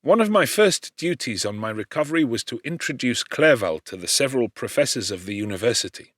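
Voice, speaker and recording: A man reads a classic novel aloud, his pitch rising and falling freely as he shapes each sentence. His voice sits at an ordinary middle pitch, and the recording is dry and close, with no room sound around it. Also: dry recording. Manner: expressive, measured storytelling delivery. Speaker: audiobook narrator. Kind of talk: scripted literary narration.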